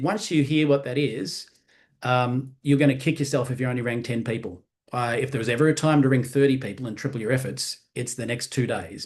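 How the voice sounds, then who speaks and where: An Australian man in his fifties giving a motivational training talk in an animated way, often checking in with "right?". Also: medium pitch. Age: 50s. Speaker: sales trainer.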